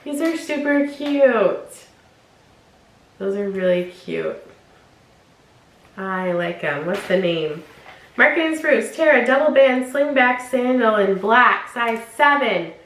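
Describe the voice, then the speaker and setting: A woman's voice: a little high, animated, takes it slowly, pauses often, fairly bright tone, reverberant. A young woman talking casually in her vlog, bubbly and rambling and laughing often, her nose stuffed up from allergies. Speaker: fashion unboxing vlogger.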